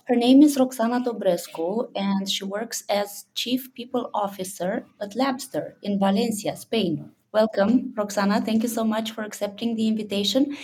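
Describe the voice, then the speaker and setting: A woman hosting a podcast, introducing her guest warmly and asking questions in a friendly, effusive way. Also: mid-range voice, moderate pace, few pauses, slight background noise, poor audio. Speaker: podcast host. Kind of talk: interview hosting.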